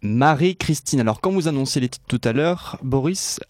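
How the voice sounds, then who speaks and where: A man's voice: medium pitch, lively intonation, moderate pace, dry recording, studio quality. A man talking on a radio show, chatty and bantering with the other guests. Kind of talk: studio banter.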